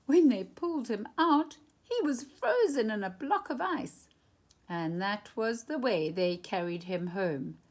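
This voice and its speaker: A woman reads a picture book aloud to children in an expressive style. Her pitch swings widely while the pace stays leisurely, and she stretches phrases for dramatic emphasis. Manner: animated, dramatic emphasis with drawn-out phrases and hushed moments. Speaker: storytime reader.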